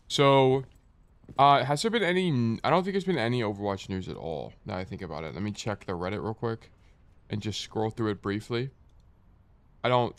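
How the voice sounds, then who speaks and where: A man's voice: fairly low pitch, clear, resonant tone. A young man streaming and coaching a game, chatting casually with a stuffy, nasal morning voice and rambling as he answers chat. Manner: rambling, joking, reads chat aloud and answers.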